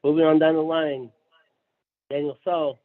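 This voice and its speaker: A man's voice: fairly high pitch, little pitch movement, measured pace. A man chairing a committee meeting, courteous and formal as he hands over the floor. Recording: tinny phone line, distant.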